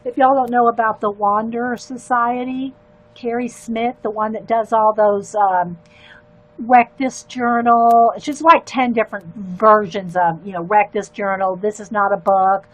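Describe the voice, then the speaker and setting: An older woman, chatting in a loud, carrying voice and rambling into asides while she colors on a livestream. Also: on the high side, steady tone, a little slow, dry recording, low-quality recording. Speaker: livestream artist. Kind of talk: casual chat while coloring.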